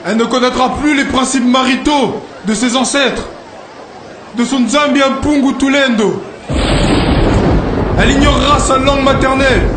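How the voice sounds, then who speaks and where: Un homme jouant dans un dessin animé un prophète solennel, meneur vers la liberté, qui exhorte son peuple en déclamant. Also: male voice, very high voice. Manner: declamatory, exhortative preaching with emphatic slogans. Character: solemn prophet and freedom leader addressing his people. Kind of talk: animated film oratory, prophetic speech. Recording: echoey, noisy sound with crowd behind.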